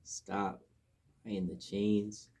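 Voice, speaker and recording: A man's voice: lowish voice, flat delivery, very slow, dark tone, husky. An American man in a casual podcast conversation, speaking earnestly with slang and repeating phrases for emphasis.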